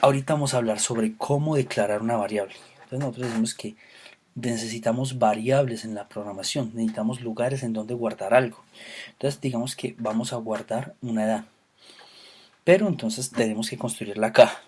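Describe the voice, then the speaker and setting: A man's voice: mid-range voice, steady tone, a little fast, a little mellow, a little hiss or hum. A man from Colombia, teaching a recorded programming lesson with patient explanations, frequent fillers and self-corrections. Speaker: programming instructor. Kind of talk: recorded lecture.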